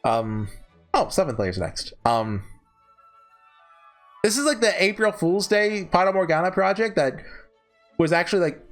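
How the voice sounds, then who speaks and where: This is a man with a fairly high-set voice and very lively intonation. He speaks like a YouTube host giving casual commentary, rambling and opinionated, with light music playing behind him. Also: measured pace, pauses often. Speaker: YouTuber host ranking visual novels. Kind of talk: casual off-the-cuff review commentary.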